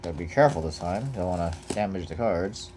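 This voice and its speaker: A man's voice: low-pitched, fast talker. A young man casually chatting while unboxing trading cards at home, rambling with lots of fillers. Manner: rambling, relaxed, many fillers. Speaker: card collector unboxing. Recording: noisy home recording. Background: crinkling of packaging.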